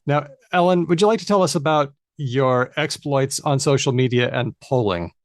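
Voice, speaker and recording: A man's voice: mid-range voice, moderate pace, no room sound, studio quality. A man hosting a live podcast, upbeat as he keeps the show moving along. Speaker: podcast host.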